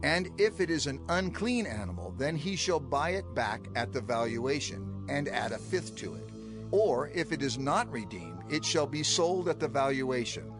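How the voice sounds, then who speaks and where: A stern, authoritative lawgiver acted by a man delivers dramatized scripture over prominent music. His mid-pitched voice swings widely while staying formal, measured and emphatic. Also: sing-song, close to an everyday voice.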